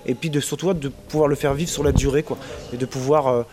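A man's voice: medium pitch, steady tone, fast talker. A man in his thirties from Brittany, answering interview questions in a casual, hesitant way with many fillers and restarts. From Brittany, France. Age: 30s. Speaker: interviewed musician.

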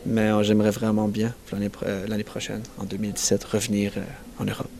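Halting young Acadian man, low voice. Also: completely flat delivery, gravelly, darkish tone. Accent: Acadian French. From New Brunswick, Canada. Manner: halting, searching for words, many restarts. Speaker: singer-songwriter guest. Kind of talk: casual festival interview.

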